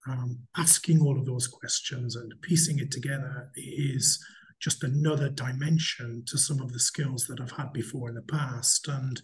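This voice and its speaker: A middle-aged man with a light Geordie accent speaks in a voice of ordinary mid pitch. His delivery is measured and deliberately slowed, with small restarts as he thinks aloud. He comes through a webcam mic on a video call from an office. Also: a little mellow, poor audio. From Newcastle, England. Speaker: hotel company chief executive, podcast guest. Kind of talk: reflective interview answer. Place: office indoors.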